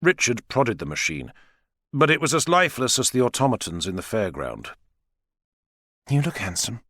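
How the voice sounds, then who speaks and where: A man narrating dramatic fiction in a measured, expressive storytelling manner. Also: mid-range voice, no echo, studio quality. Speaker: audiobook narrator.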